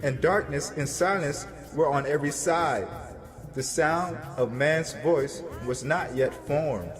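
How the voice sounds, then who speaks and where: Man as troubled devout prophet, earnest scripture narration, measured delivery, long reverb, faint music behind. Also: fairly high pitch.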